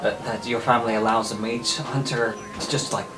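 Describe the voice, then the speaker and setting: A man's voice: mid-range voice, fairly even intonation, fairly quick. A man talking casually as a player in a tabletop game. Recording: echoey room with music.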